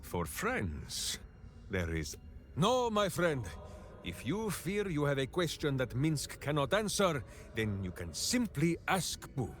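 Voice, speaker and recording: An actor playing a hearty, simple-minded berserker ranger in a fantasy video game, speaking in grandiose, oddly phrased declarations. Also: male voice, on the high side, wildly swooping pitch, slow pace, exaggerated voice. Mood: earnest, jovial. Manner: grandiose, third-person heroic declarations with odd phrasing. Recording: music behind the voice.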